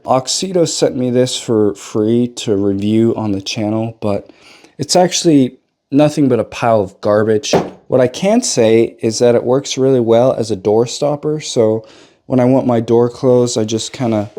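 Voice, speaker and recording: Hoarse young man vlogging casually. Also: mid-range voice, a little mellow. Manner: loose and joking, addresses viewers as guys. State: hoarse, a little sick. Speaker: car vlogger. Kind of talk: casual vlog chat. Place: home bedroom, then cold outdoor garage.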